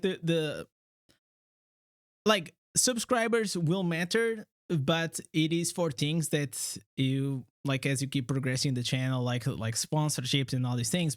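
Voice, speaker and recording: A Portuguese man chats on his livestream with a noticeable accent and a voice pitched a little high. He talks in halting bursts, restarting often and padding with fillers. The sound is dry and close. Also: clear, resonant tone, dry recording. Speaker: streamer host. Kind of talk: casual livestream chat.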